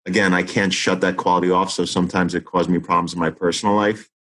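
Man, forties, very low voice; an earnest teacher thinking aloud in conversation, full of restarts. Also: level tone, quick pace, fairly bright tone, slight background noise. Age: 40s. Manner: earnest, reflective, many restarts and fillers. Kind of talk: interview conversation.

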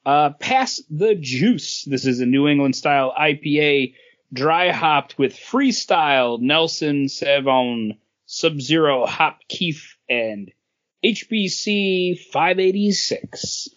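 A man's voice: fairly high pitch, expressive, slow, fairly bright tone, highs cut off. A man co-hosting a podcast, talking casually with his friends. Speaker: podcast co-host. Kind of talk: casual panel chat.

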